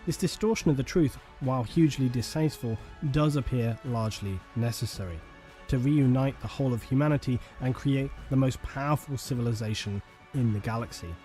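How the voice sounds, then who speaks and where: Man, lore narrator, warm mellow tone, solemn and measured, soft music underneath. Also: medium pitch. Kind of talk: scripted documentary narration. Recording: faint music bed behind.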